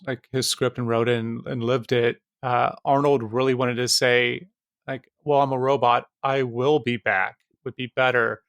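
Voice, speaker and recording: A man's voice: mid-range voice, a bit bright, clear, resonant tone, dry recording, clean studio sound. A man co-hosting a casual film podcast, talking in an offhand, joking way. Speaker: podcast co-host.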